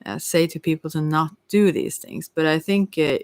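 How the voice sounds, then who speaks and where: A woman's voice: low-pitched, normal speed, a little mellow, dry recording. A middle-aged woman giving a casual, rambling tarot reading in a hesitant, candid, digressive way. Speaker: tarot reader.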